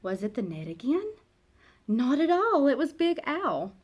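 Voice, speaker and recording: A woman narrating a children's picture book aloud in an expressive, dramatic way, with emphatic pauses and hushed phrase endings. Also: high-pitched. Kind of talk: expressive storybook read-aloud.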